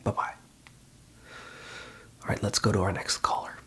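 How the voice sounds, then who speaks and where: An ASMR host whispering in a breathy voice, giving reassuring, unhurried advice as a call centre phone counsellor. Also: a bit bright.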